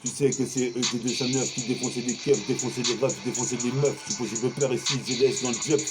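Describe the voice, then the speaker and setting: A man's voice: mid-range voice, fairly even intonation, fast, rough recording. A French man in his forties improvising a freestyle rap in a rhythmic, rhyming flow, half-singing some lines. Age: 40s. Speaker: freestyle rapper. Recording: rap over loud backing music.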